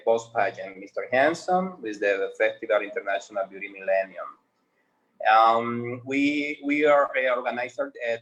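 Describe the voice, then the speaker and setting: A man from the Dominican Republic with a Dominican Spanish accent, a native Spanish speaker who answers interview questions in halting English, often pausing to search for words. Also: mid-range voice, fairly bright tone, rough recording. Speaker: pageant organizer, guest.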